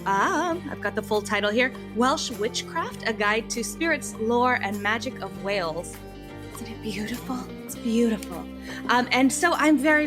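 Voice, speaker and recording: Eager woman podcast host. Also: a little high. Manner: eager and gushing, with many "um" fillers. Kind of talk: casual podcast interview.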